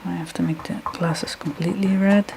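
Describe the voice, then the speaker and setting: A woman with a Dutch accent, a non-native English speaker, talking to herself as she draws on a live stream, halting and hunting for words. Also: lowish voice, steady tone, gravelly, noisy background. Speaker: artist live-streaming while drawing. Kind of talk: thinking aloud while drawing.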